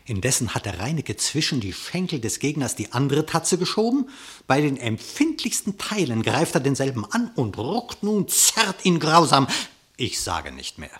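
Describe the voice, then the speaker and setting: A man's voice: medium pitch, fairly bright tone. A man narrating an epic animal fable in verse for a radio play, with dramatic, vivid storytelling.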